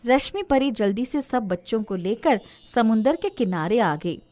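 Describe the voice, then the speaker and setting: A woman reads a children's fairy tale as its narrator. Her delivery is scripted storytelling, set lower than the voices she gives the characters. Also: expressive, moderate pace, darkish tone.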